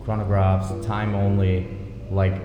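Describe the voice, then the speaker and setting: A man talks about his path as an interview guest, thoughtful and unhurried, pausing on ums. His voice sits low and stays fairly level. He is heard in a reverberant office with music playing beneath. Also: monotone, dull audio. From Denmark. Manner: measured, thoughtful, many ums. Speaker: watch brand founder, guest. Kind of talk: relaxed interview conversation. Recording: echoey office with music bed.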